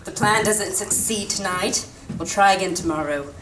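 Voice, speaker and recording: A cool, scheming young pickpocket played by a woman in an amateur radio play. The voice is mid-pitched, heard from a distance in an echoey, noisy hall. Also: normal speed, close to an everyday voice.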